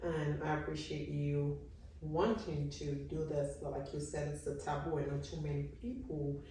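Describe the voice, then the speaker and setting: A woman hosting a talk show, her voice fairly low and set back from the mic. The room around her rings with a heavy echo. She asks gentle questions and adds soft listening sounds. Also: little pitch movement, normal speed, far from the mic, a little hiss or hum. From Sierra Leone. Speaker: host.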